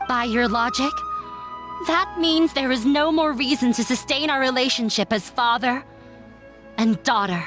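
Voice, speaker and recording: An actress playing an earnest runaway daughter standing up to her father in a game dub, with formal, measured delivery. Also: sits high. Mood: pained resolve. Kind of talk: dramatic game dialogue. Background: background music.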